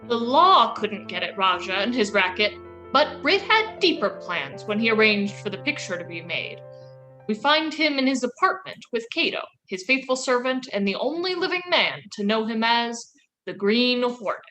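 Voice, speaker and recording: A woman's voice: on the high side, sing-song, moderate pace, sharp tone, soft background music, rough recording. A woman reading scripted narration for an old-time radio drama.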